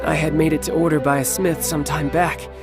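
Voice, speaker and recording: A suave young warrior acted by a man for a video game dub. He speaks in a slightly high male voice with polished, teasing ease, like a doting older brother. Music is clearly audible behind him. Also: flat delivery, fairly quick, close to an everyday voice. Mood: warm, playful. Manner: polished, smooth-talking, teasing. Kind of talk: English game dub acting. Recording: background music under the voice.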